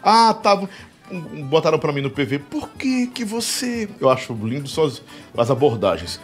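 A man from Piauí, hosting a podcast in animated, joking, rambling casual chat. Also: fairly high pitch, moderate pace, soft background music. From Piauí, Brazil. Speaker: podcast host.

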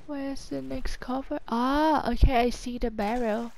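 A woman's voice: sits high, steady tone, a little slow, vocal fry, noisy background. A woman giving casual gameplay commentary, muttering short reactions to herself as she plays. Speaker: gamer, host of a playthrough series. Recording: game music behind voice.

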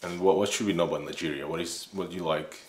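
Very deep-voiced young man interviewing. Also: steady tone, fast, reverberant, a little hiss or hum. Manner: hesitant, many "uh" and restarts. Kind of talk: casual interview questions. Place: indoor studio on a sofa. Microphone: off-camera shotgun mic.

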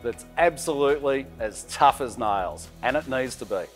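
A man's voice: medium pitch, expressive, moderate pace. An Australian man in his sixties hosting a boating show, interviewing guests in a workshop and at a marina with upbeat, leading questions. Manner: hearty, upbeat, leading questions ending in "isn't it?". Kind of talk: friendly on-location interview. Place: boat workshop and outdoor marina. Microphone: lapel mic. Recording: faint background music.

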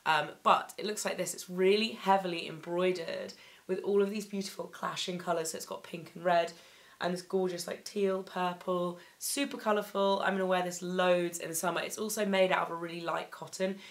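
A young woman recovering from laryngitis, her voice still slightly croaky, chatting enthusiastically through a clothing haul in her bedroom, with plenty of ums and likes. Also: lowish voice, steady tone, brisk. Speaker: fashion vlogger. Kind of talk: casual haul vlog. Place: bedroom, indoors.

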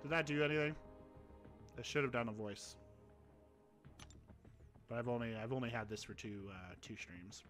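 A man's voice: medium pitch, normal speed, pauses often, a bit bright, faint music behind. A young man on a headset, chatting casually and rambling as he streams a mobile game, laughing at himself. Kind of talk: casual live-stream chat. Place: home streaming room.

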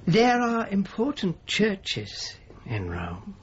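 Thoughtful churchman, radio drama: very raspy, measured yet melodic. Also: sits high, wildly swooping pitch, dull audio, heavily acted. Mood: courteous. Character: thoughtful, courteous Neapolitan churchman commissioning sacred art. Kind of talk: naturalistic radio drama acting.

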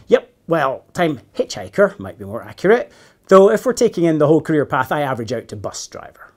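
A man's voice: fairly high pitch, big pitch swings, fairly quick. A man voicing an affable, wry male companion, casual and matter-of-fact, in a children's storybook.

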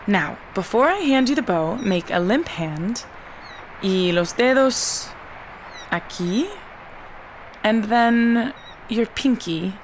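Kind young music teacher, patient, mid-range voice, audio drama. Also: female voice, medium pitch, low-quality recording. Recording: faint ambient background noise.

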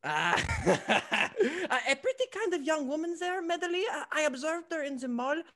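A man playing an affected, excitable, French-mad fop in a stage comedy, effusive and giggly. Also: very high voice, measured pace, full character voice. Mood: gushing, boastful. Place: indoors at home on video call.

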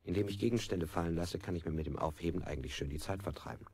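Low-voiced captive man, echoey. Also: fast talker, a little mellow. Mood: evasive, detached. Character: captive man under brutal interrogation, evasive, stubbornly repetitive. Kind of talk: avant-garde radio play, stylised delivery. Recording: echoey, very noisy old radio play recording.

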